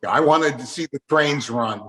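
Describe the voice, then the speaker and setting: A man's voice: mid-range voice, moderate pace, pushed and bright. A man hosting a casual interview, reminiscing in an earnest, nostalgic way. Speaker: interview host.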